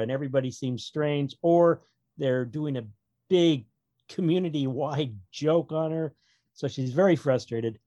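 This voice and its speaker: A man's voice: mid-range voice, darkish tone, no echo, clean studio sound. A man speaking as a guest author in a casual interview, rambling along as a wry storyteller. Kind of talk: casual interview chat about own writing.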